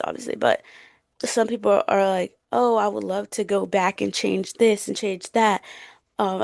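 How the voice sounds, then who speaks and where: A woman's voice: medium pitch, creaky, a little mellow, no echo, studio quality. A woman chatting casually and emphatically about books as a podcast co-host, in an informal way. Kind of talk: casual book chat.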